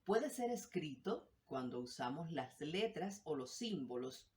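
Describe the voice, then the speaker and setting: Low-voiced woman teaching a lesson. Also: sing-song, a little slow, darkish tone. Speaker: language teacher. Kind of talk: explanatory lesson.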